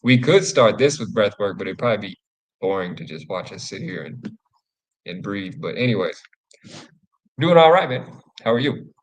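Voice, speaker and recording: A man's voice: fairly low pitch, lively intonation, moderate pace, long pauses, low-quality recording. A man co-hosting a live men's group talk, laid-back and casual, swearing easily. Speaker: co-host.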